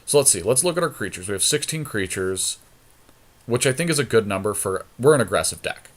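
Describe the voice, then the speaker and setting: A man's voice: fairly low pitch, animated, a little fast. A man talking casually and thinking aloud as he commentates on a card game draft in colloquial speech. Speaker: podcast host, card game player.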